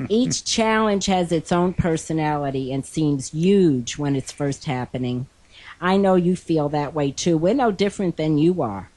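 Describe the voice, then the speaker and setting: Hoarse older woman reading scripture gently. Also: low-pitched, a little slow. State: hoarse from a cold. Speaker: co-host.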